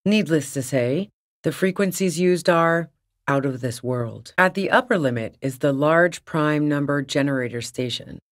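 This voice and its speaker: A woman's voice: sits low, unhurried. A woman voicing a tough, wary fugitive in a video game, blunt, wry and defiant, with profane, slangy talk. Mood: matter-of-fact. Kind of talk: video game character dialogue.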